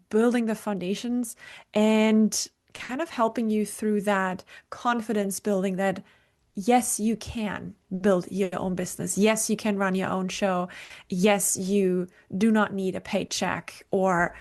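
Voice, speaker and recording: A woman in her forties speaks with a German accent, upbeat and motivational. She talks in a loose conversational way as an interview guest and often restarts her sentences. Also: mid-range voice. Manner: enthusiastic, motivational, frequent fillers and restarts. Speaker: guest, business coach.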